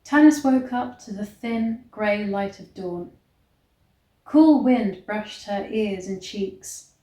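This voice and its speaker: A woman reads a novel aloud at an unhurried pace in an echoey room. Her delivery is mock-dramatic, and she breaks it up with blunt, disbelieving asides. Her voice sits at a medium pitch. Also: dark tone. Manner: mock-dramatic reading broken by blunt, incredulous commentary. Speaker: online reader and commentator. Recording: roomy, echoey sound.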